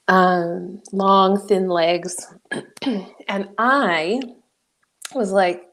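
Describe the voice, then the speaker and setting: A woman in her forties from New Mexico, a guest coach telling her life story in an interview, reflective and animated, often laughing. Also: medium pitch. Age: 40s.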